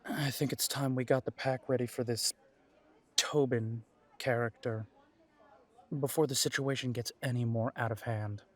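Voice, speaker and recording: A man's voice: medium pitch, steady tone, normal speed, dry recording, natural voice. A man playing a spirited, cocky young man in a werewolf audio drama. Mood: earnest.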